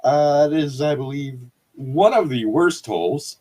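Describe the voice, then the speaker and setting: A man's voice: on the high side, lively intonation, unhurried, warm tone. A man co-hosting a comedic fan fiction reading, chatting casually with explanatory asides and some swearing. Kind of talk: casual riffing and dramatic reading.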